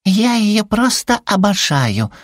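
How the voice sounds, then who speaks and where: A man's voice: sits high, big pitch swings, takes it slowly, no room sound, clean studio sound, pitched above the performer's own voice, exaggerated voice. A man voicing a cute, small, furry female villain in an audiobook, sweetly polite and pouty with gushing manners. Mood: gushing, earnest.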